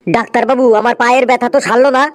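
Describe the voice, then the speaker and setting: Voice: medium pitch, fairly even intonation, very fast, natural voice. A performer voicing an indignant, dim-witted, literal-minded patient in a comedy cartoon. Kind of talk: comedy cartoon skit dialogue.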